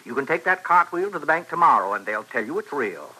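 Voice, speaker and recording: An actor playing a smooth-talking professor who patiently shows off a wondrous machine, in an old-time radio western. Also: male voice, on the high side, big pitch swings, quick pace, bright, forceful tone, exaggerated voice, rough recording. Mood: confident, expounding. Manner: patient, showy explaining, like a salesman. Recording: vintage radio broadcast recording.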